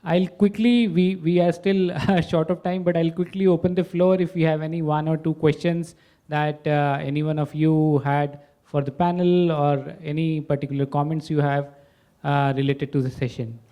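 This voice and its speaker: A man's voice: fairly high pitch, steady tone, normal speed, smooth, highs cut off. A man moderating a panel discussion, speaking hesitantly with many fillers.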